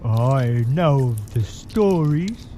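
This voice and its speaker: A man's voice: mid-range voice, lively intonation, drawn-out words, mellow, a little hiss or hum. A man voicing a bewildered, earnest bookworm creature in an audio drama, with an anguished, dramatic delivery. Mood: shaken.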